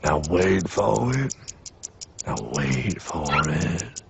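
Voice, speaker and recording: A man's voice: sits low, lively intonation, extremely slow, vocal fry, heavy background noise, heavily acted. A man voicing a cocky, swaggering male guide in a cartoon, drawling and calling people pal. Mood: knowing. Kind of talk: cartoon voice acting. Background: loud music.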